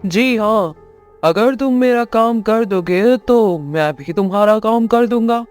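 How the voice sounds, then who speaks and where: A sinister, greedy magician from a cartoon fairy tale, acted by a man, with a notably high voice. He bargains slowly and with menace, and music plays underneath. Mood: sly, bargaining. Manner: menacing, slow, deliberate. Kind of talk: Hindi animated moral story acting.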